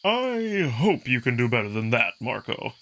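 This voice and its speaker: A man acts out a big wolf-man prince from a visual novel. He is cocky and playful, with a teasing, boisterous manner. The voice is forceful and gritty. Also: medium pitch, very animated, normal speed.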